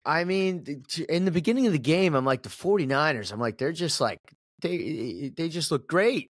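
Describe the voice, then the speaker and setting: A man's voice: fairly high pitch, lively intonation, normal speed, no echo, clean studio sound. A man co-hosting a casual sports podcast, reading promos in a sing-song way and rambling with restarts in the chat. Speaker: podcast co-host.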